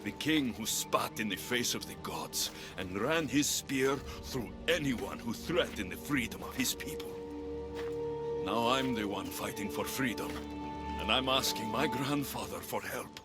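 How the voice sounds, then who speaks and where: An actor playing a bold young Greek warrior hero in a video game, earnest and determined with a wry edge. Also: male voice, mid-range voice, expressive, a little slow, over loud music, lightly acted. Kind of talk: video game cutscene dialogue.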